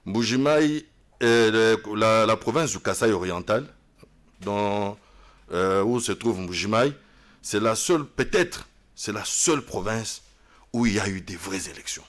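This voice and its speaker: A man's voice: fairly low pitch, measured pace, a bit bright. A man with a Congolese French accent answering interview questions, switching between French and Lingala, often restarting his sentences. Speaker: politician guest.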